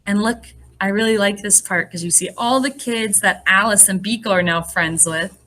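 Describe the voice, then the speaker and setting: A woman speaking as a first-grade teacher, reading and explaining to young children in an animated, encouraging way. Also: medium pitch, moderate pace, a little hiss or hum. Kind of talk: read-aloud and lesson addressed to young children.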